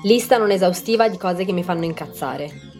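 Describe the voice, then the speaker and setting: Woman, lowish mellow voice, deadpan sardonic scripted narration, light music underneath. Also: brisk. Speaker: podcast writer and narrator. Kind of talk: scripted first-person monologue, numbered list.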